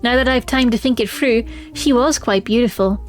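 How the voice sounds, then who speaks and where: A woman's voice: a little high, moderate pace. A woman streaming a game, chatting expressively and reading the story text aloud. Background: faint music.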